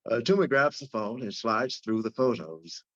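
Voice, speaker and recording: Mid-pitched male narrator, dull lo-fi sound. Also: animated, normal speed, slight background noise. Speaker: stage-direction reader. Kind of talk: scripted narration at a live script read. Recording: dull lo-fi audio.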